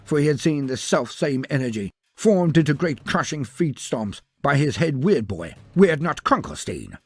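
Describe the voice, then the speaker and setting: A man's voice: on the high side, very animated, normal speed, slightly rough, no echo, faint music behind. A man narrating a scripted fantasy tale in a theatrical, florid and wry manner. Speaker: storyteller narrator.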